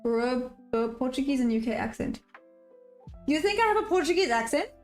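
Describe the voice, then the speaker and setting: A woman's voice: high voice, big pitch swings, normal speed, faint music behind. A woman live-streaming her day trading, chatting casually and answering viewer questions as she goes. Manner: upbeat sign-off, friendly to viewers.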